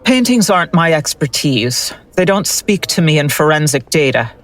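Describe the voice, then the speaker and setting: Casting: actress as skeptical audio-drama forensic analyst, sardonic and exacting, with widely varied intonation. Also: female voice, low voice, normal speed. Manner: deadpan, sardonic.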